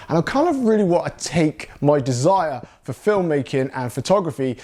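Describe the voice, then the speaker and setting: A man's voice: fairly high pitch, a little mellow. Rambling man. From South England. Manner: rambling, with many fillers like "you know" and "that lot". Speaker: YouTuber and videographer. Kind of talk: casual talking-to-camera monologue.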